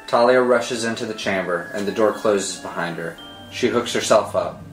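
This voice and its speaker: A man's voice: on the low side, normal speed. A man giving dramatic scripted narration, reading out a screenplay's stage directions. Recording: roomy sound with music underneath.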